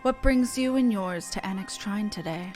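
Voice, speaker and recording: An ancient, grave dragoness, voiced by a woman, speaking formally in archaic words. Her mid-pitched voice is stately, with music playing behind it. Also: normal speed, a little mellow, close to an everyday voice. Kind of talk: reading game dialogue aloud in character.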